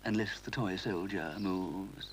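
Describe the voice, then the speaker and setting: A man's voice: low voice, unhurried, noisy background. A man voicing a haughty female toy cat who drawls in a superior way. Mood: snooty.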